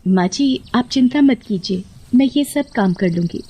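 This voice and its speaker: A woman's voice: on the high side, lively intonation, moderate pace, warm tone, husky. A woman voicing a meek, sorrowful young village bride in a cartoon story, plaintive and submissive. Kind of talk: Hindi cartoon story voice acting.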